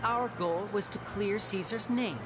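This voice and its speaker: A woman acting a suave, calculating young male stage magician in a video game, polite, measured and theatrical. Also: medium pitch, very noisy, close to an everyday voice. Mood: coy, confident.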